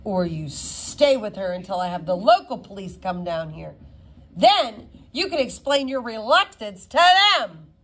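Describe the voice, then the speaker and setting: A woman's voice: mid-range voice, very animated, a little slow. Woman putting on a stern, insistent school principal. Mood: demanding.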